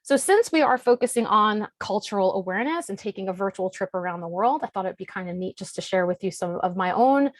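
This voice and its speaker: A woman's voice: medium pitch, animated, a little fast, hardly any pauses, dry recording. A woman dietitian hosting a webinar, presenting in an organized, scripted way over a video call. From United States. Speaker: registered dietitian and webinar host.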